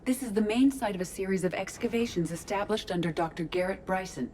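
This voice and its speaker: A woman voicing a calm ship artificial intelligence in a video game, speaking in a measured, formal, analytical way. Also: mid-range voice, a little hiss or hum, lightly acted. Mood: measured, matter-of-fact.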